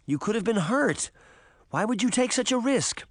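A man's voice: high voice, animated, moderate pace, no echo, clean studio sound, voice pushed up. A man voicing a girl in a storybook, a protective and exasperated older sister who worries and scolds. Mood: scolding.

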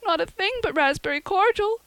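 A woman reading an audiobook, voicing a dramatic, tearful orphan girl who speaks in high-flown, breathless wails. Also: animated, normal speed, no room sound. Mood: pleading, woeful. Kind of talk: audiobook character voice.

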